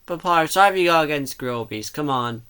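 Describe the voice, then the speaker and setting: A bright, forward voice gives casual reaction commentary. The speaker rambles, questions and picks at details in a medium-pitched voice. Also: animated, a little fast, soft background music.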